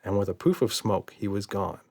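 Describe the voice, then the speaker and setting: A man's voice: on the low side, normal speed, darkish tone. A man narrating a scripted children's audiobook story.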